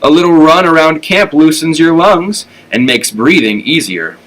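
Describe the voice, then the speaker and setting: Man reading as a plucky, bright-voiced young girl pig. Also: a little high, expressive, normal speed, pitched above the performer's own voice, poor audio. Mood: cheerful, hopeful. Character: plucky young female pig, earnest and chatty. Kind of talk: read-aloud children's storybook.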